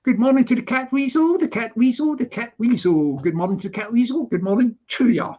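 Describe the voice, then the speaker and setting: A man's voice: very high-pitched, fairly quick, a little mellow, lo-fi sound. A man with a Scottish accent hosting a casual livestream, greeting viewers by name in a sing-song way and chatting loosely with frequent laughs. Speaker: livestream host. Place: living in London.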